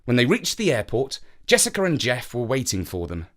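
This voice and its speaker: A man narrating a children's story in an expressive storytelling manner. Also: mid-range voice, a little fast.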